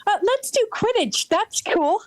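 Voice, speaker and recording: A woman playing an eccentric, cheerful character in an amateur audio play, reading with halting lists and emphatic bursts. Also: very high-pitched, lively intonation, slight background noise. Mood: enthusiastic. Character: eccentric, cheerful woman proud of odd sandwiches and games.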